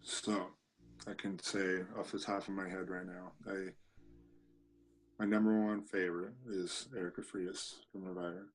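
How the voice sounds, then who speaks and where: Deep-voiced easygoing young man. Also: level tone, normal speed, faint music behind. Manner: easygoing, hesitant, repeats words. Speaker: podcast guest, punk band singer. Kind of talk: casual chat.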